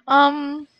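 A woman's voice: high-pitched, bright, forceful tone, dry recording. A young woman live-streaming a game in casual, reactive chat, swearing casually, on slightly dull lo-fi audio.

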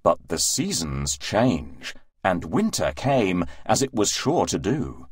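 A man's voice: sits low, big pitch swings, slow, husky, no echo, clean studio sound. A man narrating a rhyming children's story as a scripted storybook reading.

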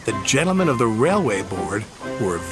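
A man's voice: medium pitch, sing-song, normal speed. A man reading a scripted children's story as its narrator. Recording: music behind the reading.

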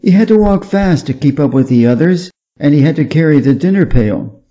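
A man from Wisconsin with an American Midwest accent, reading a children's story aloud slowly and clearly for English learners. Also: a little high, animated, dark tone, muffled recording. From Wisconsin, USA. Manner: careful, evenly paced read-aloud. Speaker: audiobook reader for English learners.

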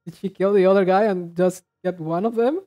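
Mellow higher-pitched man, rambling casually. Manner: rambling, halting, low-key. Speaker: reaction video host. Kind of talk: casual chat, reacting to game trailers.

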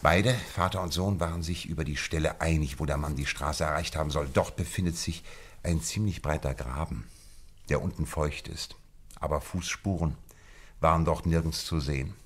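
A methodical detective in a radio play, with a low voice. He lectures precisely and with complete self-assurance, laying out his reasoning step by step. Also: fairly even intonation, moderate pace, husky. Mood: explanatory, assured. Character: confident, methodical detective explaining his deductions. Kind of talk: German radio play acting.

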